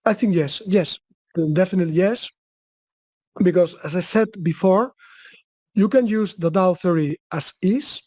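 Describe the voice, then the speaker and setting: A man's voice: sits high, a little slow, pauses often, darkish tone, no room sound. A man with a Spanish accent presenting in a webinar and answering questions, often restarting his sentences. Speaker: guest presenter.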